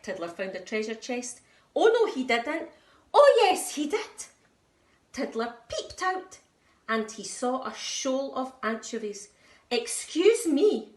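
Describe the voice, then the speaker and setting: Woman teacher reading a picture book to little kids, sing-song, highish, echoey room. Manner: expressive, sing-song storytelling. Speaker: nursery teacher reading aloud. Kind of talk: storybook read-aloud for young children.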